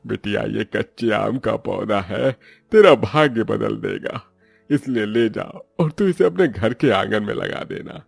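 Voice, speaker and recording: A man voicing a poor, kindly old plant seller in a cartoon moral story, with a gravelly, husky, elderly voice. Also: lowish voice, lively intonation, a little slow, no room sound, soft background music, exaggerated voice. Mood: grateful, kindly.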